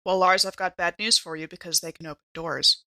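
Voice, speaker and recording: A woman's voice: fairly low pitch, fairly even intonation, a little fast, sharp tone, no room sound. A woman chatting casually as a player in a tabletop role-playing game. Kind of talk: casual role-play chat.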